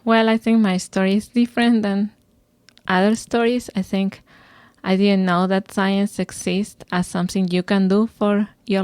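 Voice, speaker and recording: A woman's voice: lowish voice, no echo. A woman from Argentina with an Argentine Spanish accent, a native Spanish speaker giving thoughtful interview answers with "eh" fillers and restarts. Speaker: guest scientist.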